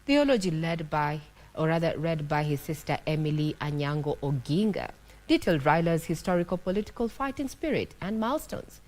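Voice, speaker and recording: A woman's voice: sits low, animated, brisk, darkish tone. A woman with a Kenyan accent reading a scripted news introduction as an anchor.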